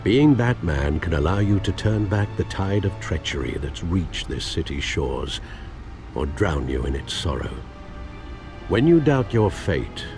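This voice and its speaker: An elderly British butler from a dramatic video game, loyal and caring. His voice sits fairly low and moves expressively as he speaks in a formal, earnest, measured way. Music plays clearly behind him. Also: full character voice. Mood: solemn, caring. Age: older.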